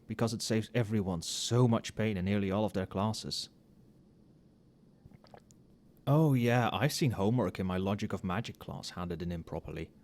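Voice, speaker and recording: Soft-spoken, low-voiced tipsy professor played by a man. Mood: mildly exasperated. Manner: muttering, musing, dry wry asides. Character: tipsy, overworked male magic professor grading late at night. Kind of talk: soft-spoken casual ASMR roleplay.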